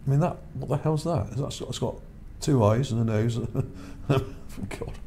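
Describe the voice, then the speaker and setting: A man's voice: mid-range voice, a little slow, creaky, warm tone, a little hiss or hum. A man whose voice is starting to wear out. He talks over images in a rambling way, repeats words and checks in with "okay?". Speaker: channel host. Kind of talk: casual narration over images, reading his article.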